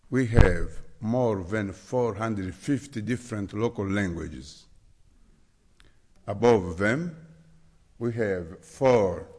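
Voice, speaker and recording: A man from the Democratic Republic of the Congo with a Congolese accent, preaching a sermon as a guest, slow and deliberate. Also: lowish voice. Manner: slow, deliberate, spells out words. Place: church sanctuary. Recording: church hall reverb.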